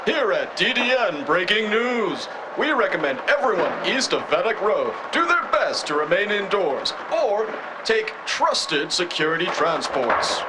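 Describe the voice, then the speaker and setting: A man playing an earnest, formal breaking news anchor in a cyberpunk audio drama, reading an official bulletin. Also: high voice. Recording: clearly noisy broadcast sound.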